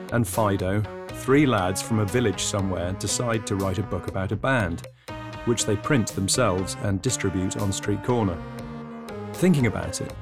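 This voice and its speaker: A deep-voiced man rambles through an absurdist podcast monologue in a deadpan way, dropping little words as he goes. His phone recording carries a boomy, roomy echo, like a tiled bathroom, with faint music behind. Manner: deadpan, rambling, drops articles. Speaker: podcast host.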